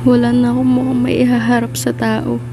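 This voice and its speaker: A woman's voice: fairly high pitch, flat delivery, moderate pace, over music, lightly acted. A young woman playing a distraught, shamed schoolgirl in an amateur radio drama. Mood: despairing. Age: young adult. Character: distraught, shamed orphan schoolgirl victim of gossip.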